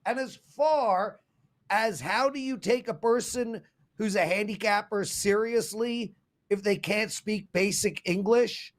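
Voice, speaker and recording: A South African man hosts a sports betting show, talking in a lively, animated way. His voice sits high for a man, and he darts into quick asides before circling back to the point. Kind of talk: casual betting show chat.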